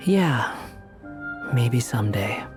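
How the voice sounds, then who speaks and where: A man's voice: mid-range voice, lively intonation, slow, over music. An actor playing an aloof, sardonic young male wanderer in a video game, with a curt, dismissive manner. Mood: wry.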